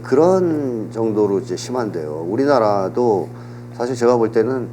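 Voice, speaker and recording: Man, low voice, steady explainer, recovering from a cold, humming noisy recording. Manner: measured, explanatory lecturing. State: getting over a cold. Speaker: political commentator. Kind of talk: political talk show analysis. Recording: audible hum and background noise.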